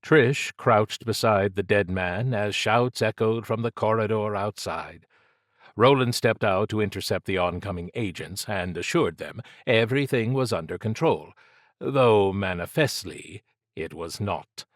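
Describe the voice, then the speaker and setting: A man reading scripted fiction as an audiobook narrator, in a measured, dramatic storytelling manner. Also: lowish voice, dry recording, clean studio sound.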